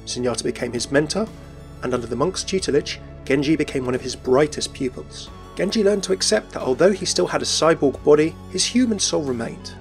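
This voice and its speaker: A man narrating a scripted video about video game lore as its presenter. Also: mid-range voice.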